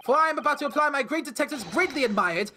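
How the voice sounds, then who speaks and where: A woman's voice: high voice, animated, very fast, sharp tone, slight background noise. A woman voicing a grandiose, self-important male detective in a fan dub of a game, with game music behind. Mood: pompous. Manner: theatrical, self-important, long-winded.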